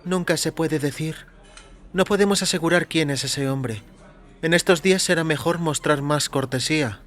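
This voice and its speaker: A man's voice: fairly high pitch, moderate pace, fairly bright tone, voice pushed up, close to an everyday voice. A man voicing a courteous, wary waitress in audio fiction, speaking carefully and deferentially. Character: courteous, wary waitress, a woman serving customers. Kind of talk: audio fiction character acting. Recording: music behind the voice.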